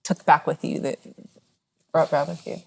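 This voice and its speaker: A woman hosting a podcast in casual chat, gentle and affirming, with halting, searching phrasing. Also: deep voice, steady tone, vocal fry, breathy edge. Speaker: podcast host.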